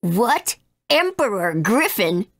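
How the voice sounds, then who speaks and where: Arrogant game villain, mid-pitched, animated, unhurried, bitterly scornful, dry studio sound. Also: female voice, no echo. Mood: haughty, amused. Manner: imperious, theatrical, drawn-out. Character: haughty, bitter ruler of darkness who despises humans. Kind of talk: video game voice acting.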